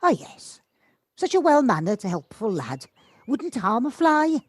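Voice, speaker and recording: Casting: actress as genteel, chatty neighbour woman, kindly but a little reserved, lively intonation, home-recorded audio play. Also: on the high side, unhurried, husky, no room sound, heavily acted. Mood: chatty, approving. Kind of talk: amateur audio drama acting. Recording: home-recorded on a personal device.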